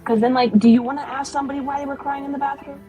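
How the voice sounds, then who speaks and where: A woman's voice: high-pitched, flat delivery, rapid-fire, dark tone, voice pushed up, natural voice. Woman doing a sassy rogue lady, slangy rambly teasing chatter, tabletop rpg, faint music behind. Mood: sly, teasing. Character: sassy, flippant, mischievous woman rogue planning a caper. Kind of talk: improvised tabletop roleplay dialogue.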